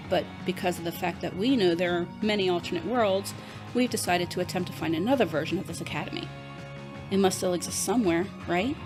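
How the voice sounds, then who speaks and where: A woman's voice: lowish voice, a little mellow, close to an everyday voice. An actress voicing a woman who records a diary entry, with dry, wry asides. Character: woman writing a travel diary, dry humor. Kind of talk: diary narration.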